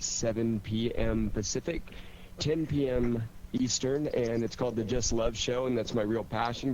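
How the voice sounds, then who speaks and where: A man of medium pitch gives a casual introduction of himself to listeners. The sound is dull and lo-fi, with faint noise behind him. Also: darkish tone. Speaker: actor and radio show host introducing himself. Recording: dull, slightly noisy connection.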